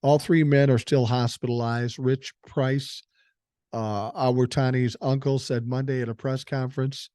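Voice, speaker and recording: A man's voice: medium pitch, level tone, measured pace, dark tone, dry recording, studio quality. Blunt, profane older man. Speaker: podcast host. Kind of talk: political commentary, reading news aloud.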